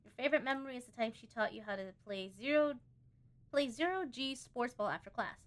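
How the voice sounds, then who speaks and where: A woman streaming a game live, chatty and expressive, reading the on-screen story aloud and tossing in playful asides. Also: on the high side, brisk. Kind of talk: live let's-play commentary, reading game text aloud.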